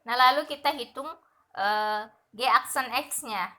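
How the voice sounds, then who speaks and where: Young woman lecturer, bright clear tone, methodical slide walkthrough with fillers. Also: on the high side, a little slow. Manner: steady explaining with frequent "nah" and fillers. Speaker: math lecturer. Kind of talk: explaining slides in a recorded lesson.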